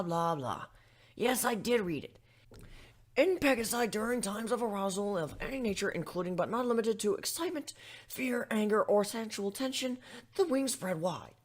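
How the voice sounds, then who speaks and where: A cheeky young dragon, performed by a man, pitched high and swinging up and down as he talks. He is glib and unbothered, like a storybook kid who has seen it all. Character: nonchalant young male baby dragon. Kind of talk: dramatic fan-fiction reading.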